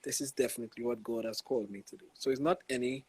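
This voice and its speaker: A man's voice: mid-range voice, fairly even intonation, very fast, darkish tone, slightly rough. A young man with a Trinidadian accent, a gospel artist speaking reflectively and casually in an interview. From Trinidad and Tobago. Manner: laid-back, reflective, slangy with many "you know"s. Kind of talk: casual interview over a live stream.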